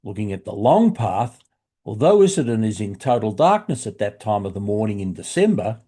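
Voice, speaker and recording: An Australian man narrating a hobby explainer video in a measured, instructive way, addressing the listener in a friendly manner. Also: on the low side, sing-song, warm tone, breathy edge. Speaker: shortwave radio hobbyist presenter.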